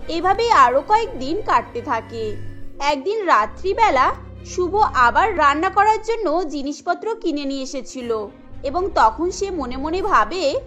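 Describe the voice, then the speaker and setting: A woman narrating a cartoon story in a steady storytelling manner. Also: high voice, a little slow, faint music behind.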